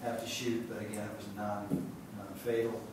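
Ohio guy at a council desk mic, flat low voice, lots of uh's, big echoey room, hiss behind. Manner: halting, many "uh" fillers, matter-of-fact. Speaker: city official giving council updates. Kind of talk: public meeting remarks. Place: large council chamber. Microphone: desk microphone heard from a distance. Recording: very echoey chamber, distant mic, background hiss.

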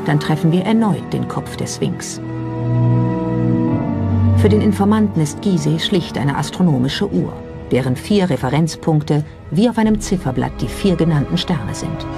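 Documentary narrator over clear music. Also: mid-range voice, animated, normal speed. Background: music behind the voice.

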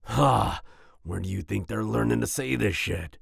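A man playing a big, grumpy werewolf boyfriend with a growling, husky voice and a soft side, in an ASMR roleplay. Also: fairly low pitch, no echo, clean studio sound, heavily acted. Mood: angry, frustrated. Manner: grumbling, slow, with grunts and growls.

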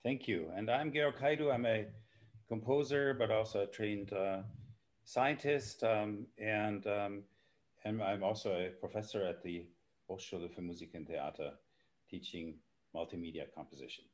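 Lowish-voiced older man. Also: normal speed. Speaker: professor and moderator. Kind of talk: informal moderating. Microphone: video call.

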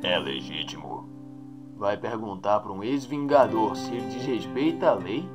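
A man's voice: lowish voice, animated, normal speed, close to an everyday voice. A man voicing a cocky old ex-hero in a comic-book dub, breezy and persuasive, with a Brazilian accent. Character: cocky old blind male ex-hero, eager talker. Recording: music under the voice.

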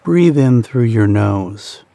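A man narrating a guided meditation in a soothing, deliberate manner, pausing between short phrases. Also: fairly low pitch, expressive, vocal fry, mellow.

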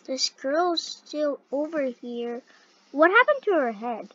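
A young female gamer with a scratchy voice, commenting on a game and reading its dialogue aloud in an excitable, halting way. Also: high voice. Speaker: gaming video host. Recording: dull, lo-fi close microphone.

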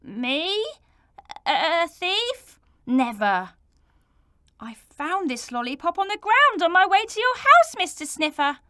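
A woman's voice: sits high, sing-song, drawn-out words, pauses often, fairly bright tone, clear, resonant tone, no room sound, clean studio sound, pitched above the performer's own voice, heavily acted. A woman voicing a grown man who stammers and is easily outraged. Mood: indignant. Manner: stammering, indignant.

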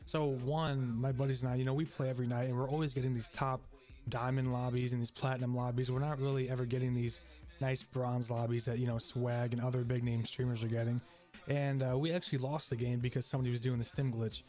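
A man's voice: mid-range voice, flat delivery, quick pace, warm tone, faint music behind. Laid-back young man chatting casually. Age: young adult. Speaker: gaming streamer. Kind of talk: casual chat to camera. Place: dark indoor gaming room. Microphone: close broadcast mic on boom arm, headset on.

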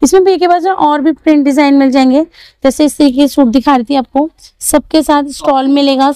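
A woman's voice: high-pitched, fairly even intonation, a little fast, mellow, no room sound. A young woman vlogging at an outdoor market, chatting through prices and fabrics and asking shopkeepers. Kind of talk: walking market vlog showing clothes and prices. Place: busy outdoor street market.

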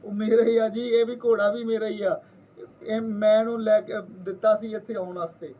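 A man's voice: high voice, little pitch movement, normal speed, mellow, distant mic, dull audio. A man with a Punjabi accent voicing a stingy, easily outraged rich man who protests and repeats his words, in a told folktale. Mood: indignant.

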